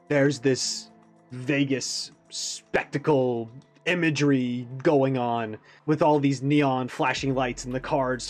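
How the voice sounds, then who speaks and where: A man hosting a reaction video, speaking casually in rambling, enthusiastic analysis. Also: mid-range voice.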